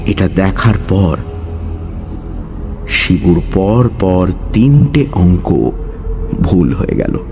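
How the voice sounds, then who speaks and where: A male storyteller with a fairly low voice reads a suspense tale very slowly. His delivery swings expressively and leaves deliberate pauses, with soft music behind him. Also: very animated, vocal fry. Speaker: storyteller, narrator. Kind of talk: dramatic scripted horror story narration.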